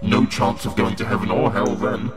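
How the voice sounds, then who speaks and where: A man's voice: fairly low pitch, moderate pace, natural voice. A man playing a cruel, gloating creature of limbo in an audio horror drama, with a menacing, mocking and theatrical manner. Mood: threatening. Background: loud dramatic music.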